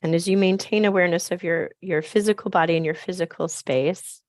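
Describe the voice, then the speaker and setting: A woman with a scratchy, raspy voice, softly leading a slow grounding meditation on a video call. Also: on the low side, fairly even intonation, no echo, clean studio sound. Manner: soft, slow, gently inviting. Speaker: program facilitator.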